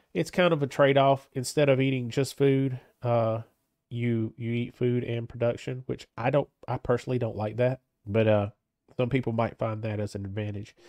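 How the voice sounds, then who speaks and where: A man with a stuffy, congested voice talks through a strategy game in a loose, meandering way, tripping over his words and circling back. His tone is dark and mellow, and the recording is dry and close, with no room around him. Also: medium pitch, dry recording. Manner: rambling, hesitant with many restarts. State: stuffed up from a cold. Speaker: gaming channel host. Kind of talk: casual let's-play commentary.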